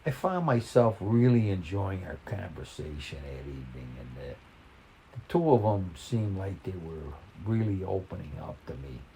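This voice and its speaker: Older man, folksy storyteller, halting and reflective, lively rise and fall in pitch, faint rain-like noise behind. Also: poor audio. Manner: folksy, plain-spoken. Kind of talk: reading a personal short story in a conversational, reminiscing way.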